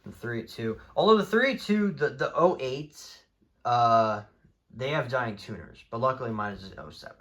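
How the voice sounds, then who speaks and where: A man's voice: mid-range voice, expressive, moderate pace, a bit bright. Casual, rambling man showing off his collection. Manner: informal, rambling, easily exasperated. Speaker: hobbyist video creator presenting a radio collection. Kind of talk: casual unscripted show-and-tell chat.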